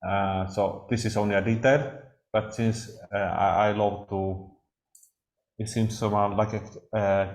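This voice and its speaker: A Swedish man giving an academic talk, speaking haltingly with frequent pauses and restarts. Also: fairly low pitch, a little mellow. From Sweden. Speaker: lecturer. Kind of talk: academic discussion. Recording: roomy echo.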